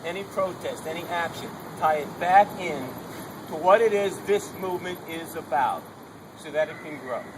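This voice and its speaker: A man's voice: a little high, moderate pace. Man projecting an urgent open-air speech. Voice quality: strong, projecting voice. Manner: emphatic, urging, repeats key points. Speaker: protester giving a soapbox speech. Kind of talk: unamplified open-mic speech. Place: outdoor protest gathering. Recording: noisy outdoor recording.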